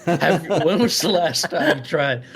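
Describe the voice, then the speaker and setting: A man's voice: on the high side, measured pace, a bit bright. A man chatting casually as a player at a tabletop roleplaying game.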